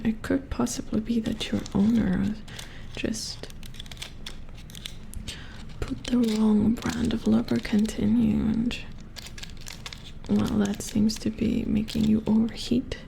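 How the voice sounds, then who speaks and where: A woman playing a puzzled, mildly grumbling robot repair engineer in a soft-spoken ASMR roleplay, hushed and halting as if muttering to herself. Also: a little high, creaky, slight background noise, heavily acted, rough recording.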